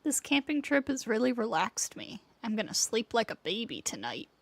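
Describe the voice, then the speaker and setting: A woman's voice: a little high, very animated, moderate pace. A woman casually commenting on a game and reading text aloud as a livestreamer, close on the mic. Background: game music.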